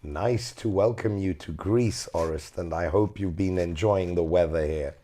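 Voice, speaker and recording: A man hosting a casual interview, hoarse from illness, asking gentle, encouraging questions. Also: low voice, measured pace. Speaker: interview host.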